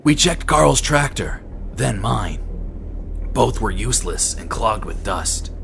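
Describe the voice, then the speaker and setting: Low-voiced man narrating horror. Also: sing-song, takes it slowly, gravelly, fairly bright tone, faint music behind. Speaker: amateur audiobook narrator. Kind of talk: scripted first-person horror story narration.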